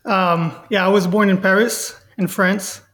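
A man's voice: high-pitched, steady tone, measured pace, fairly bright tone. A young man, answering interview questions with frequent hesitant ums and uhs. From Israel. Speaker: podcast guest, professional fighter.

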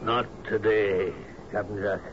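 An actor in a radio drama playing a grieving, reserved houseguest who speaks in a solemn, terse, polite way. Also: male voice, on the low side, fairly even intonation, unhurried, close to an everyday voice. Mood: grave, subdued. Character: grave, grieving, reserved male houseguest on island. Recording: muffled, hissy old radio broadcast.

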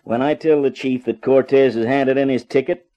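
An actor playing a chummy, blunt homicide detective in a 1950s radio crime drama. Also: male voice, medium pitch, fairly even intonation, normal speed, lo-fi sound, close to an everyday voice. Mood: blunt, amused. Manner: blunt, slangy. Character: gruffly chummy male homicide police detective, slangy and blunt.

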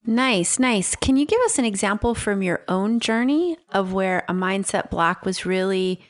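A woman's voice: medium pitch, expressive, a little slow. A woman hosting an interview podcast, introducing and questioning a guest. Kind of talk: scripted intro, then friendly interview.

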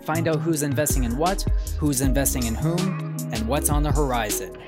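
Male ad announcer over loud music. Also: a little high, moderate pace. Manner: smooth, promotional. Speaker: sponsor announcer. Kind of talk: scripted ad read.

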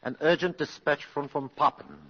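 An actor playing a curt, scornful, accusing German colonel in a 1950s radio drama. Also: male voice, on the high side, steady tone, moderate pace, bright, forceful tone. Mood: cold, scornful. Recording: dull, echoing vintage radio recording.

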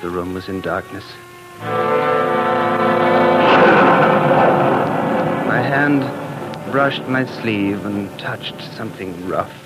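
An actor playing an anguished, passionate time traveler in love, in theatrical 1940s radio drama style. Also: male voice, lowish voice, unhurried, loud music behind the voice, lightly acted, poor audio. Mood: somber, reflective. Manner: impassioned, theatrical.

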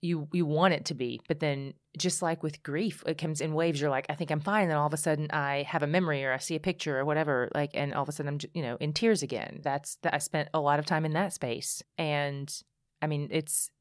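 A woman speaking candidly as a podcast guest, telling her story in animated bursts with frequent restarts. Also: low voice, fairly quick, dry recording, studio quality. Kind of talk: casual conversation.

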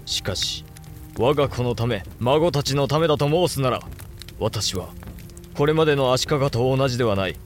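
An actor playing a brooding, resolute samurai lord and elder brother in a Japanese period audio drama, speaking gravely and with deliberate command. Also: male voice, mid-range voice, soft background music, lightly acted.

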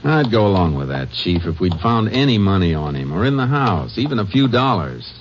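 An actor playing a steady, thoughtful Texas Ranger investigator, terse and matter-of-fact, in an old-time radio drama. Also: male voice, deep voice, brisk, a little hiss or hum. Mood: thoughtful, businesslike. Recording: muffled vintage radio recording.

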